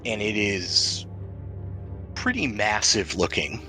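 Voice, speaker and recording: A man with a fairly low voice runs a tabletop role-playing game. He sets scenes descriptively, making them up as he talks in a conversational style. Music plays clearly behind him. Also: big pitch swings, slow pace. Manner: descriptive, improvised scene-setting. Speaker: game master.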